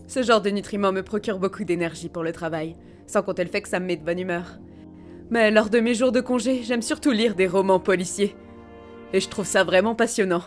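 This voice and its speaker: A stern patrol captain from a game , played by a woman. Her voice sits at a middle pitch, composed and full of authority, with light music underneath. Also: close to an everyday voice. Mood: calm, content. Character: stern, poised policewoman, captain of a special patrol. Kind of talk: fan-dub game character acting.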